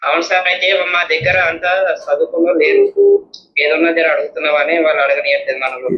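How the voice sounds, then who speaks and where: A man's voice: on the high side, flat delivery, fast talker. A man with a Telangana Telugu accent phoning in a local complaint, deferential and often saying sir. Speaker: phone-in caller with a local grievance. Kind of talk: deferential petitioning by phone. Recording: dull phone call, echo, noise.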